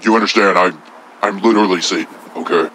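A man's voice: deep voice, steady tone, fast talker, fairly bright tone, slight background noise, rough recording. A man playing a crude, boastful devil who talks bluntly and profanely. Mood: indignant. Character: crude, boastful male devil, menacing and vulgar.

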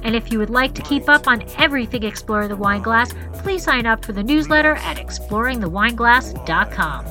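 Upbeat woman podcast host, a bit high-pitched, faint music behind her. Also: expressive, a little fast, few pauses, bright, forceful tone. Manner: bubbly, laughs often. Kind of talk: podcast intro and casual interview.

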